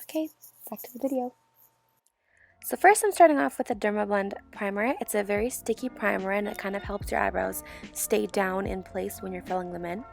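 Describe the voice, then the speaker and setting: Sick young woman host with a hoarse, gravelly voice explaining a makeup routine step by step. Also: medium pitch, fairly quick, faint music behind. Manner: calm step-by-step instruction. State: hoarse, sick with sore throat. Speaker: beauty channel host. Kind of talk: tutorial voiceover. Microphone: voiceover mic.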